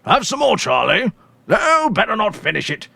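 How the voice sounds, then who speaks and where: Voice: mid-range voice, wildly swooping pitch, normal speed, gravelly, bright, forceful tone, husky, no echo, pitched above the performer's own voice, exaggerated voice. A performer voicing casual male policemen who talk bluntly and thickly with their mouths full, in a story reading. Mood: casual, speculating.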